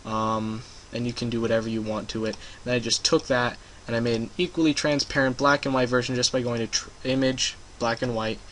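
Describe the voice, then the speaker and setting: A man's voice: medium pitch, level tone, brisk, few pauses, fairly bright tone, a little hiss or hum. A young man casually narrating a screen-recorded game-making tutorial, rambling and hesitant with many ums and uhs. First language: English.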